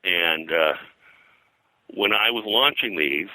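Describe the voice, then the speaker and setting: An older man speaking as an interview guest, reminiscing in a halting, digressive way and often clearing his throat. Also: sits low, pushed and bright, dry recording, poor audio. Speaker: guest, pulp and comics historian. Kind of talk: interview conversation, reminiscing about his work.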